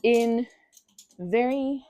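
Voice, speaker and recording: A woman's voice: a little high, drawn-out words, mellow, slight background noise. A woman performing a casual best-friend ASMR roleplay, chatting in a playful, scatterbrained way and rambling as she goes.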